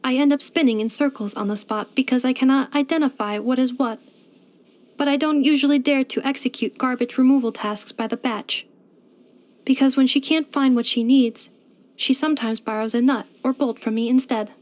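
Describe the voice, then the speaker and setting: A woman's voice: high-pitched, fairly even intonation, normal speed, darkish tone, natural voice. A woman voicing a polite, devoted household robot companion in a video game, speaking in formal, precise, computer-like phrasing. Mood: polite, matter-of-fact. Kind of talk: scripted video game character voice lines.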